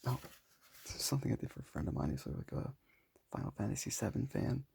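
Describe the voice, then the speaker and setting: A young man with a raspy voice, talking casually and modestly while showing his sketches. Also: medium pitch, sing-song, fast talker. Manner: soft-spoken, self-deprecating, rambling.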